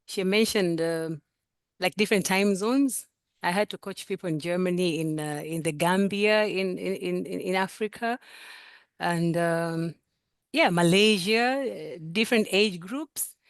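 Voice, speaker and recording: Low-voiced woman, halting and earnest. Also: no echo, clean studio sound. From Tanzania. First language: Swahili. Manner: earnest, halting, many repeated words. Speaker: coaching program participant. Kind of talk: sharing experience in a group video call.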